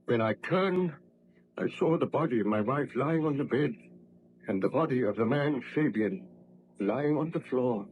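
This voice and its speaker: An actor playing a desperate, guilt-ridden baron in an old radio drama, with impassioned, formal, theatrical diction. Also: male voice, mid-range voice, animated, moderate pace, narrow, tinny audio, lightly acted. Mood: haunted recounting. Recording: noisy vintage radio drama recording.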